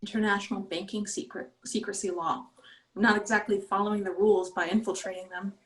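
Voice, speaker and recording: A woman's voice: medium pitch, fairly even intonation, a little fast, close to an everyday voice, poor audio. A woman playing a determined young heist leader in a screenplay table read, casual and earnest. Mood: earnest, resolute.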